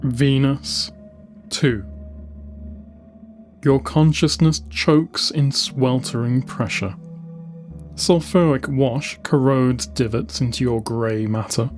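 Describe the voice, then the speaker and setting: Male audiobook narrator, unhurried and grave, dramatic pauses, middle-pitched, with music underneath. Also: a little mellow. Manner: solemn, deliberate, with dramatic pauses between short phrases. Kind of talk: scripted dramatic narration of science-fiction lore.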